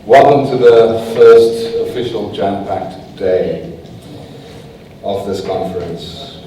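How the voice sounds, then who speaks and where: A man opening a conference as host, giving brisk, informal welcoming remarks. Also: on the low side, fairly even intonation, background noise. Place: large conference hall. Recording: echoey hall from far off.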